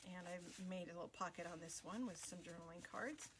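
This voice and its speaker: A woman hosting a craft video, talking casually through a flip-through with many fillers and pauses. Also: on the low side, noisy background.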